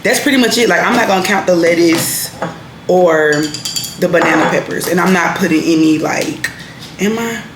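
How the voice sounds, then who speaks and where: A woman's voice: fairly low pitch, normal speed, noisy background. Chatty woman in an echoey room. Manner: chatty, laid-back, laughs easily. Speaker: vlogger. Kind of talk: casual vlog chat.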